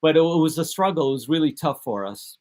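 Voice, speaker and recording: A man from the United States, a DJ and producer, talking casually in an interview, relaxed and rambling with many fillers and restarts. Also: a little high, fast talker. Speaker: guest DJ and producer.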